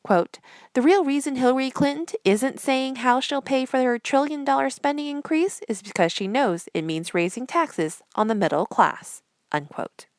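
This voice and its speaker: A woman presenter reading scripted news headlines in a measured, formal newsreader delivery. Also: sits high, dry recording, clean studio sound.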